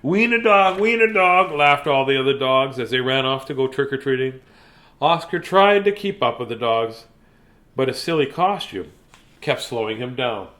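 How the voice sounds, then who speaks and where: A man reading a children's bedtime story theatrically, with dramatic pauses and playful asides. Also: mid-range voice, fairly bright tone.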